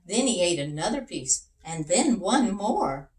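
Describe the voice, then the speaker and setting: A woman reads a children's story like a teacher to young listeners. Her pitch moves in an animated way at an unhurried pace. The recording is echoey and a little far from the mic. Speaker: language arts teacher reading aloud. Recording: roomy echo, away from mic.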